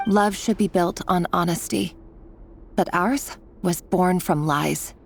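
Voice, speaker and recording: An actress playing an earnest, secretive and protective young woman in a video game, measured and resolute over background music. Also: on the low side, vocal fry, a little hiss or hum. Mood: brooding, resolute. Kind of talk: scripted video game voice acting. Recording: game dialogue with music underneath.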